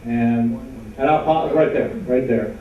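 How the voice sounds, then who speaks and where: A man from New York giving a slide talk to a local audience, speaking loudly with many uh fillers and asking for the next slides. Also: mid-range voice, fairly even intonation, unhurried, slight background noise. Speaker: panel speaker. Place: large meeting room with an audience. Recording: far-off, dull, very echoey hall.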